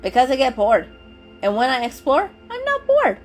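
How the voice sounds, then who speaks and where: A woman's voice: high voice, wildly swooping pitch, normal speed, soft background music, voice pushed up. A woman voicing a playful, confident young male cat with a casual, teasing manner in a children's story. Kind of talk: dramatic children's story reading.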